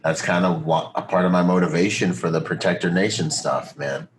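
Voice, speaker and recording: A man's voice: sits low, fairly even intonation, fairly quick, a little hiss or hum. An American man hosting a podcast in casual, enthusiastic, slangy and emphatic conversation. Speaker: podcast host. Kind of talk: casual interview chat.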